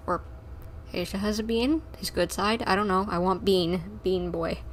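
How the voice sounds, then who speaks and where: A woman's voice: medium pitch, steady tone, normal speed, rough recording. A woman livestreaming a game, giving casual, playful, chatty commentary as it plays. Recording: livestream mic with faint background noise.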